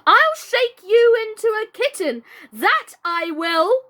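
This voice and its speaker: Spirited, well-mannered fairy-tale girl, high and animated played by a woman narrator. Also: slow pace, heavily acted. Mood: fierce, fed up. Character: polite but spirited, indignant young girl.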